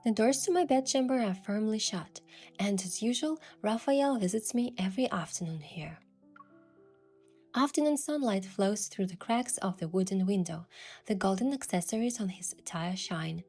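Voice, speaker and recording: A woman voicing a curious young woman posing as a princess, narrating her own story softly in a measured, wistful way. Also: medium pitch, animated, soft background music. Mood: soft, reflective. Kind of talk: scripted first-person story narration with acted dialogue.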